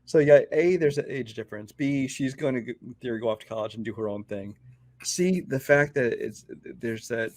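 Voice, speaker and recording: A man co-hosting a casual movie podcast, easygoing and measured, who moves the show on to the next film. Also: medium pitch. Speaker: podcast co-host.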